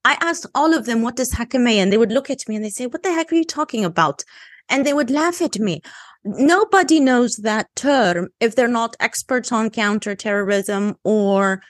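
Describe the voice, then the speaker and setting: A woman's voice: a little high, expressive, moderate pace, no room sound, clean studio sound. A woman with an Egyptian Arabic accent, a native Arabic speaker, speaking as an analyst on a discussion panel in an emphatic, insistent way. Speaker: guest analyst.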